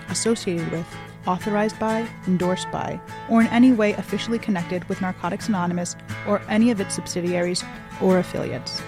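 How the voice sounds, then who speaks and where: Woman announcing over guitar music. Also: medium pitch. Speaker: podcast host and announcer. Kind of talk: scripted announcement.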